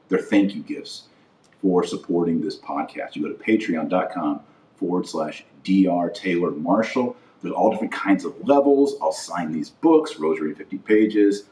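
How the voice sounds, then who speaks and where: A man with a fairly deep voice delivers informal opinion commentary as a podcast host. He is blunt and indignant, hammering points home through repetition. The sound is echoey, with the voice set back from the microphone. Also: a little mellow. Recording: roomy echo, distant from the mic.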